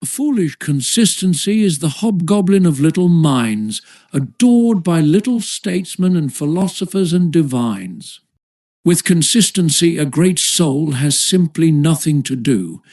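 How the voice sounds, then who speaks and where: A man narrating an audiobook of a nineteenth-century essay in a measured, formal literary manner. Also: fairly high pitch, lively intonation, a little mellow.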